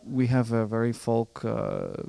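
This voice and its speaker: A man's voice: mid-range voice, huge pitch swings, measured pace, gravelly, mellow. A young adult man from Colombia, a composer and pianist answering interview questions in a hesitant way, with many restarts and fillers. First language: Spanish.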